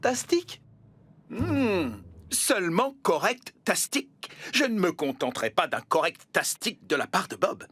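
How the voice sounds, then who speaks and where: A woman's voice: lowish voice, big pitch swings, a little slow, fairly bright tone, husky, no echo, exaggerated voice. A woman voicing an exuberant, showy, perfectionist milkshake inventor in a theatrical French cartoon dub. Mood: dissatisfied. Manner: theatrical, effusive.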